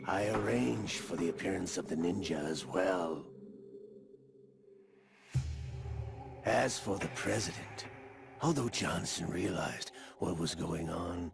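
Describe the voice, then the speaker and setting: An actor playing a sly older schemer in a video game cutscene, gravelly, smug and condescending. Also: male voice, sits low, a little slow, noisy background, exaggerated voice. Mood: gloating. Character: sly older male schemer, smugly condescending puppet master. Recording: music behind the dialogue.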